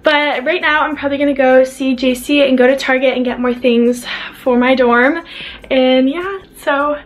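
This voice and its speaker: A woman's voice: sits high, fairly even intonation, normal speed. Bubbly young woman vlogging from her dorm. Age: young adult. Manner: bubbly, lots of "like" fillers. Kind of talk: casual vlog chat. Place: small college dorm room, indoors. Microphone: handheld camera at arm's length.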